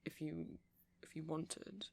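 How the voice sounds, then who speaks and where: Nerdy, gentle vampire for ASMR roleplay, played by a man: creaky, halting, rambling, reassuring. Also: fairly high pitch, sing-song, exaggerated voice. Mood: absorbed, rambling. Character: nerdy, kindly, rambling male vampire music fan. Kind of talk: ASMR roleplay, soft conversational monologue.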